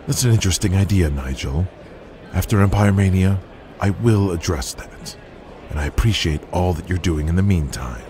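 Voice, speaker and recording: An actor playing a ruthless, controlling wrestling promoter in an audio drama, speaking in a cold, lecturing manner. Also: male voice, deep voice, expressive, moderate pace, dark tone, a little hiss or hum. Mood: approving.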